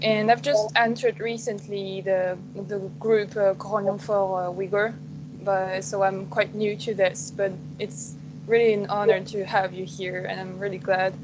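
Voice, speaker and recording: A woman's voice: medium pitch, little pitch movement, measured pace, lo-fi sound. A young woman of nineteen introducing herself shyly and hesitantly on a video call. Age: young adult. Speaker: student interviewer.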